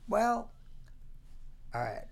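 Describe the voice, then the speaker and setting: A man chatting casually as a podcast guest, halting and blunt, drifting off the microphone in a noisy recording. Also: sits high, sing-song, vocal fry, breathy edge. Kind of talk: casual chat.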